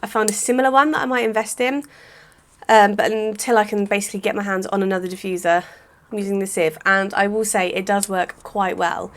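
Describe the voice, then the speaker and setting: A woman, chatting casually through a hair-care vlog, a little croaky, rambling with lots of "sort of". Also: medium pitch, steady tone, fairly quick. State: slightly croaky voice. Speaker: hair vlogger.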